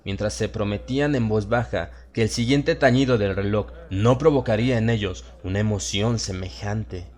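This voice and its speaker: A man with a Latin American Spanish accent narrates a horror audiobook in a solemn, measured, dramatic way. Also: fairly low pitch. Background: music.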